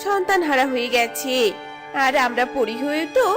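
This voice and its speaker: A woman voicing a kind, curious young fairy in a cartoon fairy tale, gentle, earnest and expressive. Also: high voice, moderate pace, over music. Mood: compassionate.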